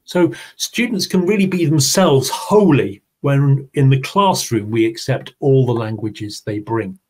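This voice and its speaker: A man's voice: medium pitch, unhurried, darkish tone, a little hiss or hum. A man presenting an online teaching seminar, talking his audience through his slides. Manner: explanatory, talks through slides. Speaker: webinar presenter.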